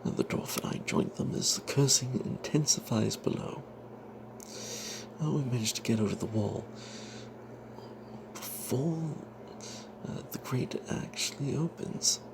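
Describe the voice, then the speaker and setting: Man whispering a fantasy tale, gravelly and breathy. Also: medium pitch, unhurried, long pauses. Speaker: storyteller narrating own tabletop character. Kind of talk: whispered ASMR first-person fantasy storytelling. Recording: noticeable background noise.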